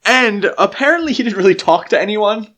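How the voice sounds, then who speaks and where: A man co-hosting a podcast in a casual, halting conversational way, often interrupting his own sentences. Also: sits high, expressive, fairly bright tone. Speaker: podcast co-host. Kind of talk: casual film discussion chat.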